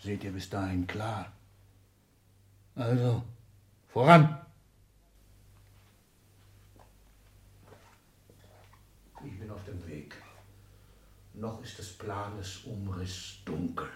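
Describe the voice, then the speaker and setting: An actor playing an ailing, scheming old industrialist patriarch in a 1960s German radio drama, commanding and emphatic. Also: male voice, lowish voice, slow, pauses often, a little hiss or hum. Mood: menacing, grandiose. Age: older. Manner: commanding, emphatic, lecturing, swinging from muttering to outbursts.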